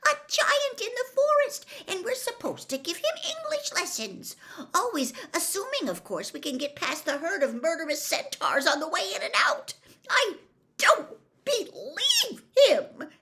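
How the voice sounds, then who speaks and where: A clever teenage girl on the edge of panic, her voice pushed high and shaky, as a woman reader acts her out. She sounds agitated and breathless, swinging widely in pitch. An audiobook reading. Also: very animated, measured pace, hardly any pauses, pushed and bright, heavily acted.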